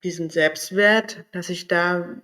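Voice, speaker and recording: A man's voice: high voice, steady tone, slow, sharp tone. A man talking candidly in a personal vlog, thinking aloud and searching for words as he goes. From Berlin area, Germany. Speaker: vlogger. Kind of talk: personal reflective monologue.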